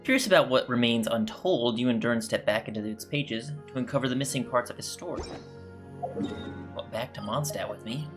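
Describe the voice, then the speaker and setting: A man's voice: medium pitch, moderate pace, music in the background. A man giving casual gameplay commentary as a streamer, reading game text and reacting to it.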